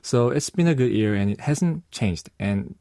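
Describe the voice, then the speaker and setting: A young man answering interview questions in a soft, hesitant way, with many fillers and restarts. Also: mid-range voice, animated, moderate pace, no room sound, clean studio sound. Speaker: singer-songwriter and producer guest.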